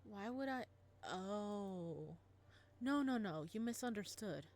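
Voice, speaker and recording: A woman voicing a gentle adult male teacher and adoptive father in a visual novel, read softly and calmly. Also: medium pitch, slow.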